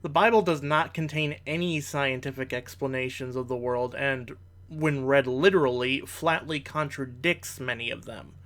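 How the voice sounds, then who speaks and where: A man's voice: mid-range voice, fairly bright tone. A man delivering scripted rebuttal commentary in formal wording, with an analytical and bluntly critical manner. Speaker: commentator, video host.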